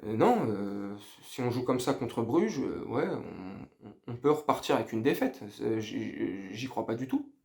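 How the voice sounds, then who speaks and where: A man's voice: mid-range voice, lively intonation, unhurried, vocal fry, darkish tone. A middle-aged man talking casually and slangily to viewers as a football fan, rambling and hesitating, sounding underwhelmed. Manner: underwhelmed, lacking enthusiasm. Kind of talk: casual post-match debrief to viewers.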